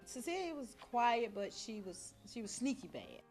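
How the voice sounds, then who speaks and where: A woman's voice: on the high side, very animated, moderate pace, music in the background. A middle-aged female schoolteacher, talking informally and plainly in an interview. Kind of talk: interview reminiscence.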